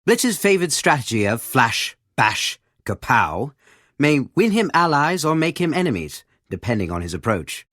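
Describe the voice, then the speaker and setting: A man voicing an erudite, formal video game match commentator with a measured, theatrical delivery of scripted commentary. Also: medium pitch, fairly bright tone, no room sound, studio quality. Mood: playful emphasis. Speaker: game announcer. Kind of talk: scripted esports-style commentary.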